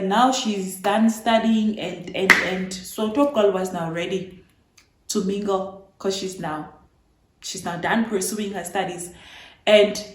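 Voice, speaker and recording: A woman in her twenties from Namibia talks casually to her viewers, animated and playful as she retells her own story. Her voice sits at a middle pitch. The recording carries a noticeable room echo. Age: 20s. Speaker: vlogger. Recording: echoey room.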